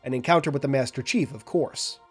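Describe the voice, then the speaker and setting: A man hosting a lore video, narrating and analyzing in a measured, explanatory way. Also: medium pitch, slightly rough, faint music behind.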